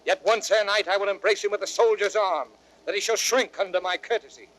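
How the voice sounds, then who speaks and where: A proud young rebel warrior in a Shakespearean audio drama. His voice is notably high for a man. He declaims with intensity, brave and impatient. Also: steady tone, brisk, a bit bright, rough recording. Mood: impassioned. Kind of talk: classical Shakespearean radio drama acting.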